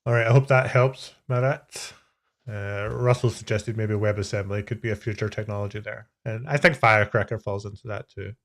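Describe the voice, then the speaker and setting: A man hosting a livestream, relaxed and asking his guest open questions. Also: lowish voice, fairly quick. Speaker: livestream host. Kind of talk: casual interview chat.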